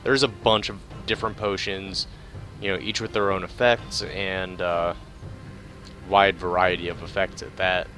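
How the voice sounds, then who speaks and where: A man's voice: low voice, little pitch movement, unhurried, bright, forceful tone. A man giving casual video game commentary in a laid-back, hesitant, rambling way, sometimes reading out tips. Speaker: game player commentating a playthrough. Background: game music.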